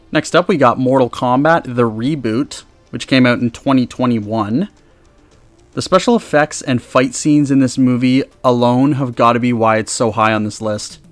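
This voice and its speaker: A man's voice: medium pitch, dry recording. A man hosting a countdown review in a casual, chatty, enthusiastic way, speaking close to the microphone. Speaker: video host and reviewer. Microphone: close USB microphone.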